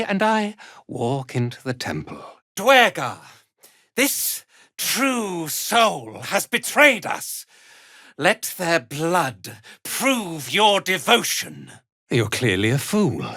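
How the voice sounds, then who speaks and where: A man's voice: a little high, pushed and bright, no echo, studio quality, full character voice. A man voicing an arrogant, contemptuous drow commander in a video game, with a haughty, imperious and theatrical delivery. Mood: defensive, frustrated, then commanding, menacing. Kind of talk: dramatic video game voice acting.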